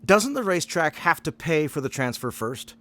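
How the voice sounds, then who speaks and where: An actor playing a worried, doubting criminal conspirator in a radio drama. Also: male voice, fairly high pitch, big pitch swings, moderate pace, sharp tone. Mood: skeptical. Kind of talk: contemporary radio drama acting.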